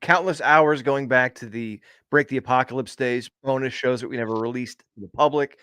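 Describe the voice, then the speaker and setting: A man's voice: medium pitch, normal speed, a bit bright, clean tone, no room sound, clean studio sound. Laid-back man trading crude jokes. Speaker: podcast co-host. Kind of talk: casual comedic podcast chat.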